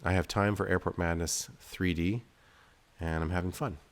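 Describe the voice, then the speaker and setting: A man's voice: deep voice, steady tone, moderate pace. A man giving casual gameplay commentary as a video host, rambling and thinking aloud in a self-deprecating way. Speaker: game developer and video host playing his game.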